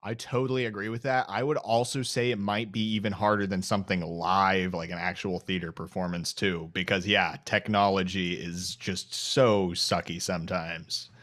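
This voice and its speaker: A young man gives his opinion in casual talk on a video call. His voice is very low in pitch. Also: flat delivery, moderate pace, hardly any pauses, a bit bright. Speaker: show cast or crew member. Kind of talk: casual chat. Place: indoors on a group video call.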